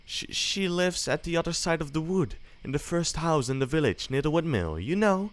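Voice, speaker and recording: A man doing a high, lilting little girl. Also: lively intonation, normal speed, lightly acted. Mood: innocent. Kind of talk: storybook read-aloud.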